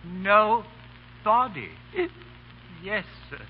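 Proper butler, very high male voice, formal, muffled old radio recording. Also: expressive, slightly rough, full character voice. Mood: deferential. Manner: formal, measured. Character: deferential, proper, deadpan butler. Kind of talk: 1930s radio drama acting.

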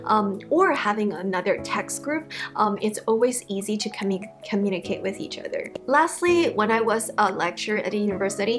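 A woman in her thirties whose first language is Chinese, giving casual study advice to camera from a living-room armchair, chatty and animated. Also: medium pitch. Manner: chatty, animated, acts out example emails. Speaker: educator YouTuber.

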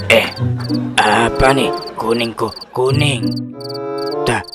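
A man's voice: mid-range voice, fairly even intonation, slow pace, natural voice. A man voicing a kind-hearted but easily irked shrouded ghost in a comedy cartoon, with music and insects behind. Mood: puzzled.

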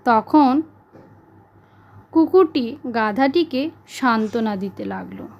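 A woman narrating a children's animal fable in a deliberate, expressive way. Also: on the high side, gravelly, highs cut off. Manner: slow, deliberate, expressive storytelling. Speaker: storyteller.

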